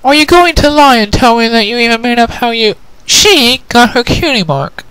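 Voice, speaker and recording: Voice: mid-range voice, animated, normal speed, pushed and bright, clear, resonant tone, no room sound, voice pushed up, poor audio. A performer voicing an angry, distrustful young female pony in a cartoon fan story, with an indignant, emphatic way of talking. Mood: exasperated, distrustful. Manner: indignant, emphatic, stammering when upset.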